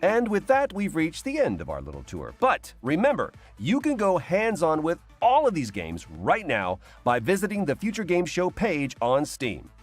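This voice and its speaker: A man's voice: on the high side, wildly swooping pitch, normal speed, hardly any pauses, soft background music. A man co-hosting a video game showcase, presenting scripted comedy in a playful, theatrical, jokey way.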